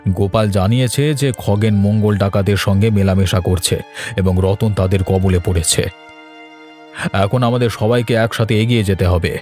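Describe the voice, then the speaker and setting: A grave village leader from a fairy tale cartoon, put on by a man. His voice is low, measured and authoritative. He speaks over background music. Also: steady tone, a little mellow, natural voice. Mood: commanding. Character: grave, commanding male village elder and leader.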